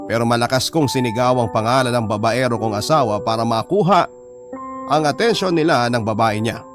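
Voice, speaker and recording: A man's voice: mid-range voice, fairly quick, music in the background, lightly acted. A man with a Filipino accent narrating a scripted first-person story.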